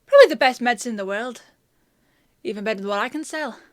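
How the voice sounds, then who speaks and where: A woman's voice: fairly high pitch, animated, fast talker, dry recording, studio quality, natural voice. A woman playing a bossy, teasing female apothecary in a fantasy audio roleplay, with a chatty, sardonic, playfully scolding manner.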